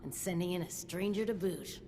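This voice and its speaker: A woman's voice: on the low side, a little fast, gravelly, over music. An actress playing a tired woman vault resident who chats a bit sardonically about the vault's problems. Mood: weary.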